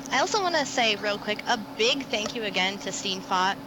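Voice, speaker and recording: A woman chatting casually as a guest on a livestream, friendly and joking in an animated way. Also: medium pitch, moderate pace. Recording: noisy livestream connection.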